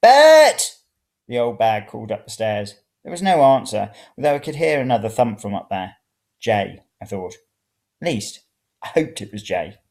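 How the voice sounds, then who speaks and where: A narrator reading first-person serial fiction in an expressive, dramatic way. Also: medium pitch, moderate pace, gravelly. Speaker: story reader.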